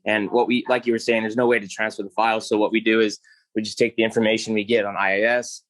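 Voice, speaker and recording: A man's voice: lowish voice, flat delivery, quick pace, a bit bright, no room sound, poor audio. A man who works as a touring audio technician, asking a technical question as a participant in an online session.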